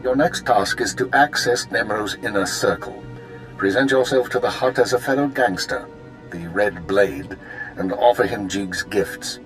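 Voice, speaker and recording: An actor playing a cool, formal imperial intelligence handler, giving clipped orders in a video game. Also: male voice, lowish voice, measured pace, soft background music, lightly acted, poor audio. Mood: cool, commanding.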